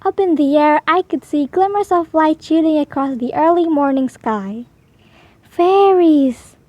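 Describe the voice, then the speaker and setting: A woman with a high voice reads a children's storybook aloud. Her delivery is expressive and animated, lifting for the story's dialogue. The sound is dry and close, with a slightly dull edge. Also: moderate pace, dry recording. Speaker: storybook reader.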